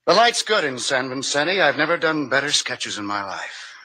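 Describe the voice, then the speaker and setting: A man's voice: medium pitch, very animated, moderate pace, bright, forceful tone, exaggerated voice, poor audio. A man acting a flippant, cynical drifter artist with sardonic, languid delivery in a 1950s radio drama.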